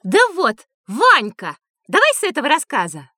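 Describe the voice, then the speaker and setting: An eager female character speaks in a high, very animated voice. The delivery is lively audiobook dialogue with a bright, dry sound. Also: normal speed, no echo, exaggerated voice.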